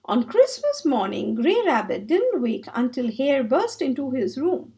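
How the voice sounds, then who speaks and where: A woman's voice: sits high, big pitch swings, measured pace, creaky, mellow. A woman narrating a children's book read aloud as a storyteller.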